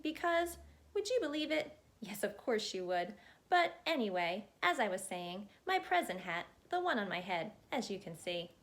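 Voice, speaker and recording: A woman voicing an excitable storybook chatterbox man who rambles on breathlessly and keeps interrupting himself. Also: medium pitch, moderate pace. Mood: chatty, rambling.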